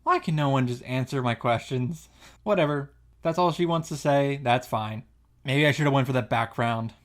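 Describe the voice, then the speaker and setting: A man's voice: mid-range voice, normal speed. A man giving casual, sarcastic reaction commentary while reading and summarizing a visual novel. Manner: sarcastic asides, casual swearing.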